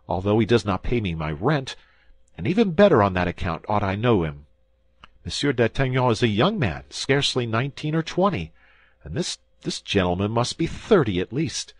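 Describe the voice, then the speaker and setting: Man doing a panicky landlord, shrill high voice, swooping pitch, frantic pleading, breathy edge. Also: dry recording, exaggerated voice. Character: frightened, pleading middle-aged male landlord.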